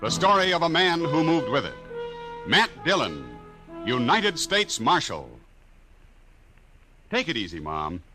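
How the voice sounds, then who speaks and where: A man working as a 1950s radio announcer, reading an intro and an ad in a booming, salesman-like manner. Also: sing-song, unhurried, long pauses, over music, poor audio.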